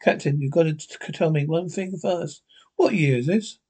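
A man playing a skeptical, commanding spaceship captain who sounds baffled and insistent, in a husky voice. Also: on the high side, normal speed, a little mellow. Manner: questioning, insistent.

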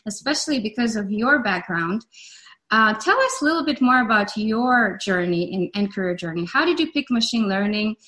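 A woman's voice: medium pitch, lively intonation, normal speed, sharp tone. Enthusiastic woman hosting a panel. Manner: enthusiastic, repeats herself. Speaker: panel moderator.

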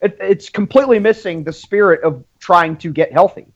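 Higher-voiced man chatting, slightly dull audio. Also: moderate pace. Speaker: podcast co-host. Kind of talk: casual chat, personal anecdotes.

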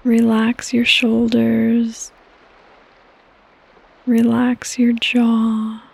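Very slow, breathy woman guiding sleep meditation. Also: on the high side. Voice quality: soft, breathy, partly whispered. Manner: calm, gentle instruction with long pauses. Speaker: podcast host and meditation guide. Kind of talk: guided sleep meditation, scripted and soothing.

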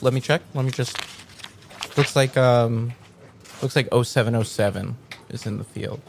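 A man playing a dry agency clerk in an improvised audio drama, tentative and deadpan as he reports. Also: medium pitch, moderate pace, creaky, a little hiss or hum, natural voice. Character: unflustered, dry male agency clerk reporting to his superior.

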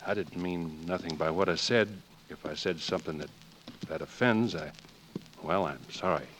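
A bashful young American cowboy outlaw in an old radio western. He has a fairly low voice and a halting, courteous manner, with slight hiss on the vintage recording. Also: rough recording. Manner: halting, soft-spoken courtesy. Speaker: actor in a radio drama. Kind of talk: 1940s radio acting, western.

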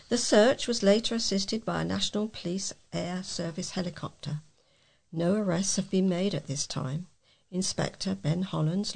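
A woman's voice: on the low side, gravelly, darkish tone. A woman reading scripted local news as a volunteer newsreader.

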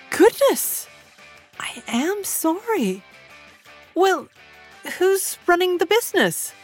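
A woman's voice: extremely high pitch, very animated, extremely slow, pauses often, soft background music, full character voice. An actress playing a polite, proper, determined middle-aged mother in an audio drama, speaking with prim formality. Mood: courteous, prim.

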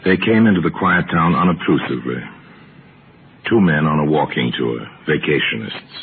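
Extremely deep male radio mystery narrator. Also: animated, slight background noise. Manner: measured, storytelling. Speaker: narrator and host. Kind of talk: scripted old-time radio mystery narration. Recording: tinny old radio broadcast.

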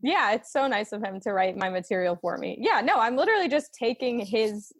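A woman's voice: fairly high pitch, animated, fairly quick, breathy edge. An American-accented woman chatting casually as a comedian guest in an interview, informal and giggly.

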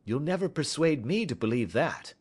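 A man voicing a shrewd, calm detective who speaks in a measured, offhand way in an audiobook story. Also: a little high, slightly rough, voice pushed up. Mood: cool. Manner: calm, measured, careless-seeming. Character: cool, shrewd male detective, middle-aged.

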